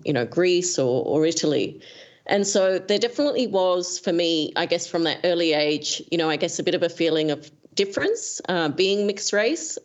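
A woman's voice: low-pitched, highs cut off. An Australian woman in her forties, a guest author answering questions thoughtfully, with frequent hesitations and fillers. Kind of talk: reflective conversation.

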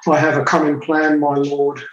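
A man's voice: fairly high pitch, monotone, normal speed, reverberant, muffled recording. A man from England with a Cockney and Essex accent, a psychiatrist answering interview questions hesitantly with many fillers and repeated words. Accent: Cockney and Essex English. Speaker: psychiatrist guest.

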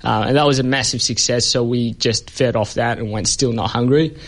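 A man's voice: mid-range voice, steady tone, brisk. Chatty man telling stories. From Ethiopia. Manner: chatty storyteller, many fillers, laughs often. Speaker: comedian guest. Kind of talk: casual anecdotal interview chat.

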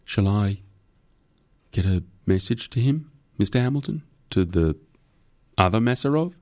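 Audiobook role read by a man: nervous male junior lawyer, older trainee, low-pitched, hesitant and deferential. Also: measured pace, a little mellow. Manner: hesitant, stammering ums, deferential.

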